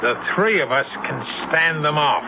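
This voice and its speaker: An actor playing a ruthless, domineering sea captain in a radio drama, with a commanding, imperious manner. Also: male voice, on the high side, expressive, moderate pace, gravelly, phone-quality sound, loud music behind the voice. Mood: hard, suspicious. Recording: noisy, thin, band-limited old radio broadcast.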